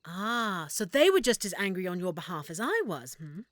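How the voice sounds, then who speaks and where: A woman playing an affectionate, excitable half-elf shopkeeper in a roleplay audio drama, speaking expressively and warmly to the listener. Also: on the low side, moderate pace, no echo, clean studio sound. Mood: intrigued, attentive. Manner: expressive, warm, reacting with feeling.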